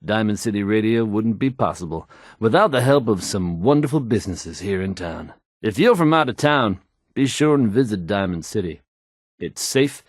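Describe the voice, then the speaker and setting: An actor playing a polished, confident radio DJ in a video game, with fluent, assured announcer delivery. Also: male voice, on the low side, no room sound, clean studio sound. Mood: polished, upbeat.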